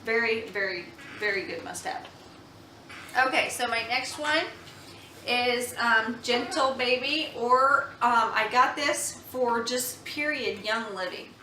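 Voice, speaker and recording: Brief: young mom vlogger, bright, chatty, earnest, recorded a bit off-mic in an echoey room. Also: female voice, a little high, slow, recorded from a distance, slight background noise. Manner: chatty, earnest, with many ums and restarts. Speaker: mom vlogger reviewing baby products. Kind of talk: casual product review chat. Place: home room.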